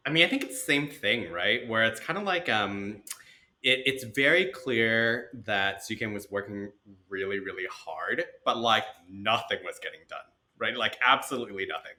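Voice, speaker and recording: A man's voice: on the low side, normal speed. A man, a podcast guest, talking casually with frequent "right?" and "like". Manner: relaxed, uses "right?" and "like". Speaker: podcast guest, venture partner. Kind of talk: casual conversation.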